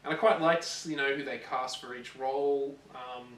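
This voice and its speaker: A man co-hosting a gaming show, chatting casually and giving rambling, animated opinions. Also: mid-range voice, normal speed. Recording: roomy echo, distant mic, faint noise.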